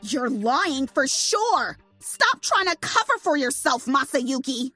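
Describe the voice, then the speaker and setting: Audio drama role: blunt female accuser woman actor, suspicious, clipped, highish voice over faint music. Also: big pitch swings, takes it slowly, bright, forceful tone, no echo, exaggerated voice. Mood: accusing.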